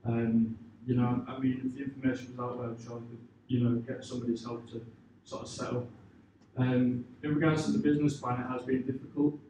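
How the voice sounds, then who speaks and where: A man giving informal, hesitant answers to questions after pitching his business, leaning on filler phrases. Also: little pitch movement, fairly quick, dark tone. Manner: hesitant, informal, leans on "you know" and "sort of". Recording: echoey room, distant mic, clear background noise.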